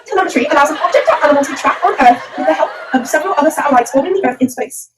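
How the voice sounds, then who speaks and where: A woman's voice: high voice, rapid-fire, noisy background, close to an everyday voice. A woman voicing a cheeky puppet presenter, theatrical and slangy, in a scripted comic science explainer. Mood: chirpy explaining. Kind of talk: scripted comic educational narration.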